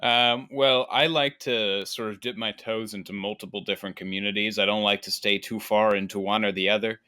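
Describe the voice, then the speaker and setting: Man, podcast guest, fairly low pitch, crisp forward tone, measured explanations, casual chat. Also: steady tone, pushed and bright. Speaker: guest content creator and commentary YouTuber.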